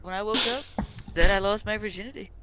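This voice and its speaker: A man telling a rambling comic anecdote among friends, halting and often referring to himself in the third person. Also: high voice. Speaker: storyteller. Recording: music playing behind the voice.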